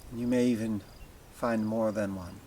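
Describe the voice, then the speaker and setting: A man leading a guided meditation as a teacher, speaking in slow, deliberate phrases with long pauses. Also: lowish voice, steady tone, mellow, a little hiss or hum.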